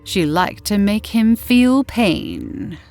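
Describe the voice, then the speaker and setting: A woman narrating in a dramatic, theatrical old-time radio horror style with deliberate delivery. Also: medium pitch, wildly swooping pitch, vocal fry, darkish tone. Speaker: story narrator and channel host. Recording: roomy echo, faint music behind.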